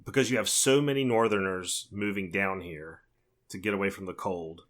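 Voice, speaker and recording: A man's voice: deep voice, steady tone, normal speed, a bit bright. Southern man hesitating through podcast chat. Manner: hesitant, repeats words. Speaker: podcast co-host. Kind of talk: casual chat.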